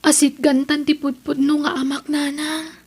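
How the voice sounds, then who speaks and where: A woman's voice: high-pitched, little pitch movement, moderate pace, darkish tone, lightly acted. A woman with an Ilocano accent playing an earnest, pleading teenage daughter who longs for acceptance in a radio drama. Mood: wistful.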